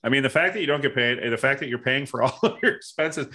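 A man's voice: mid-range voice, fast, pushed and bright. A man co-hosting a podcast, chatting casually and feeling his way through his remarks with tentative rephrasing. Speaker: podcast co-host. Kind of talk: casual interview chat.

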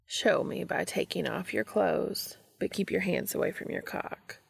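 A woman voicing a commanding, tender adult man in a romantic audio story, speaking low, slow and often in a whisper. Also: expressive, creaky, breathy edge, full character voice. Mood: hushed, commanding.

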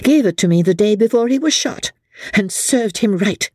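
A woman's voice: medium pitch, lively intonation, moderate pace, dark tone, no echo, clean studio sound, voice pushed up, lightly acted. A woman voicing a worldly, scheming young woman who scolds with contemptuous scorn. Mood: mocking.

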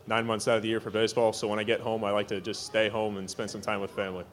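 A young man on a panel talking in a relaxed, thoughtful way about playing baseball. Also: fairly low pitch, quick pace.

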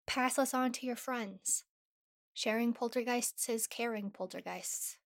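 A woman's voice: on the high side, unhurried, no room sound. A woman who created the show, reading scripted podcast announcements in a playful, upbeat manner. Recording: dry, faint music behind.

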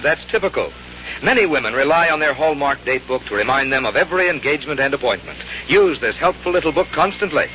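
A man's voice: mid-range voice, bright, forceful tone, slight background noise. A man with an American accent, a radio announcer reading a polished, persuasive 1950s sponsor message. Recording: dull vintage radio broadcast, faint music.

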